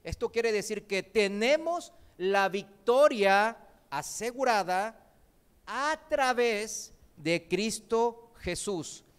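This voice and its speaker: A male preacher in a church, a native Korean speaker whose Spanish carries an accent learned in Argentina and mixed with Mexican. His voice sits high and sounds smooth and resonant. He preaches in a lively way, throwing rhetorical questions and light jokes to the congregation. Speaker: visiting preacher. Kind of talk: sermon.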